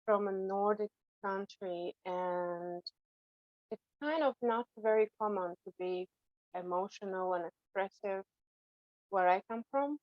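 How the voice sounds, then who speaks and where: A woman's voice: mid-range voice, no room sound. Reflective woman on a dull, dry line. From Latvia. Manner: reflective, halting with restarts and soft laughs. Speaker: coach, podcast guest. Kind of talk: casual panel chat.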